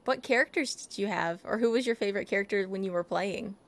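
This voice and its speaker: A woman's voice: medium pitch, fast, slight background noise. A playful, chatty woman game streamer talking casually and reacting as she plays. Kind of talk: casual chat and reactions while playing a game. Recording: game music in the background.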